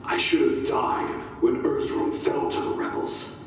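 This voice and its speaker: A man's voice: mid-range voice, steady tone, moderate pace, natural voice. Man acting a solemn religious zealot in echoing hall. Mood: fervent conviction. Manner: preaching, grave, declamatory. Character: fanatical religious soldier, captive, solemn and unrepentant. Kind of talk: video game audio log acting. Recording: echoing hall, distant mic, faint noise.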